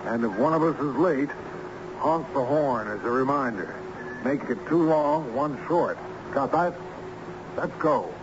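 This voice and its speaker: A man's voice: medium pitch, expressive, a little slow, lightly acted. An actor playing a scared but practical man organising a search in a vintage radio drama. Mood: urgent, businesslike. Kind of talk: old-time radio drama acting. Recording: muffled, noisy old radio recording.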